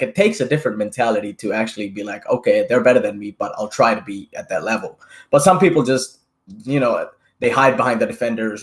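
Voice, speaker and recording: A young man in his twenties hosts a podcast in a chatty, casual way. He swears freely and often checks in with a quick tag question. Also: on the low side. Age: 20s. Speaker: podcast host.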